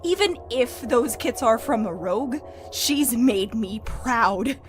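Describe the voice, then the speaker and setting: A woman voicing a stern, fiercely protective older mother cat with a firm, measured delivery in an animated fan drama. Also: on the high side. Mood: fierce, indignant. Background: dramatic background music.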